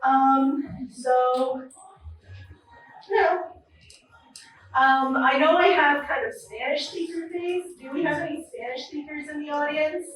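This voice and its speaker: A woman's voice: high-pitched, takes it slowly, pauses often. A woman in her twenties doing a nervous, self-deprecating stand-up set at an open mic, full of ums. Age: 20s. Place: comedy club or small venue. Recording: very echoey room from a distance.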